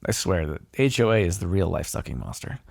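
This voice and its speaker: A man's voice: low-pitched, sing-song, quick pace, creaky, darkish tone, dry recording, exaggerated voice. A man playing a smug, teasing, grudgingly fond vampire in an intimate ASMR roleplay, talking in a sardonic, conversational way. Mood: amused teasing.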